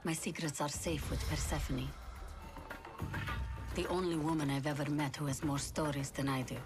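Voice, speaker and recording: Woman playing an enigmatic, very low-voiced secret-keeper. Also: level tone, moderate pace, music in the background, lightly acted. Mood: guarded mystery. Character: enigmatic, guarded veiled woman of many secrets. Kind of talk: scripted dramatic narration.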